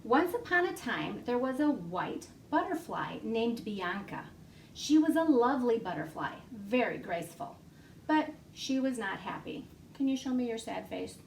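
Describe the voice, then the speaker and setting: A woman librarian reading a picture story aloud to young children from her home, animated and full of gasps. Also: a little high, moderate pace, gravelly, recorded from a distance, a little hiss or hum. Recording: echoey room, voice off-mic.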